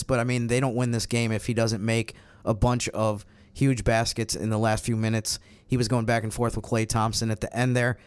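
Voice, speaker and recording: A man's voice: mid-range voice, smooth, no room sound. A man co-hosting a sports podcast, giving earnest, detailed, conversational basketball analysis. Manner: earnest, detailed, stat-heavy explaining.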